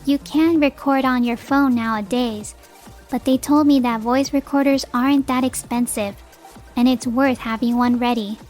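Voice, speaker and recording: A woman's voice: a little high, fairly even intonation, moderate pace, a little mellow, faint music behind, close to an everyday voice. A woman voicing a resolute young wronged wife and mother, narrating a manga drama in an earnest, dramatic way. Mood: relieved, reflective. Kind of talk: scripted first-person narration, manga dub.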